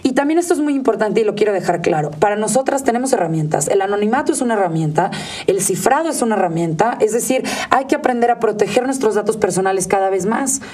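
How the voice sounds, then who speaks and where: A woman's voice: medium pitch, animated, quick pace, darkish tone, far from the mic. A woman with a Mexican accent speaking as an expert guest in an interview, emphatic and outspoken. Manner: emphatic, outspoken, mimics others in quotes. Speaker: interview guest, digital rights advocate.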